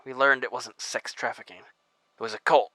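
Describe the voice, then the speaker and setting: An actor playing a bleeding, weary former federal agent who talks in a halting, strained voice with sighs. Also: male voice, medium pitch, steady tone, bright, forceful tone, no room sound, close to an everyday voice. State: injured, weak and short of breath. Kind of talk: audio drama acting.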